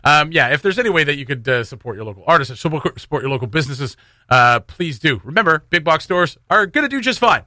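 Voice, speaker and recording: A man's voice: a little high, big pitch swings, fast, sharp tone, no echo, studio quality. A man hosting a morning radio show, chatting casually and jokingly, rambling loosely between community announcements.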